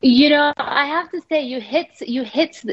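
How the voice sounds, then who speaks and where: A woman's voice: high-pitched, unhurried, dull audio. A woman born in England, a radio guest, chatting casually and telling stories with many fillers and restarts.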